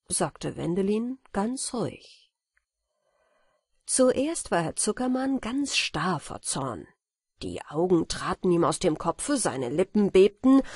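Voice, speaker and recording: A woman reading a fairy-tale audiobook as narrator, telling the story in a deliberate, dramatic way. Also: lowish voice, sing-song, a little mellow, husky, dry recording, studio quality.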